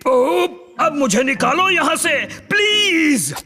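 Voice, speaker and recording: Excitable jungle bear, very high pitch, slow drawn-out delivery, animated cartoon, exclaiming. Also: male voice, faint music behind, heavily acted. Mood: exasperated.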